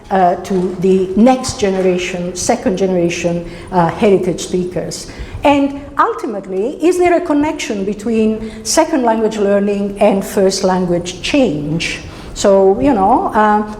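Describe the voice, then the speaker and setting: A middle-aged woman with an Italian accent delivers an academic lecture in a conversational way, feeling her way through phrases. Her voice is dark and mellow at a medium pitch. The sound is roomy and reverberant, as in a lecture hall. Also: a little hiss or hum. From Italy. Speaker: university researcher giving a symposium lecture.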